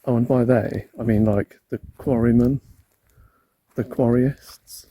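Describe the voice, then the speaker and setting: A man vlogging as he explores hills and an old quarry outdoors, talking quietly to himself and musing as he walks, picked up by a camera mic. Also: mid-range voice, fairly even intonation, slow pace, a little hiss or hum. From Wales. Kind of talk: casual hiking vlog narration.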